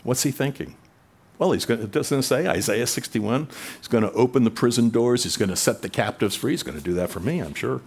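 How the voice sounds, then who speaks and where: A man preaching a sermon in a conversational way, rising in pitch and volume for emphasis. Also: expressive, fairly quick, slightly rough. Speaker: pastor.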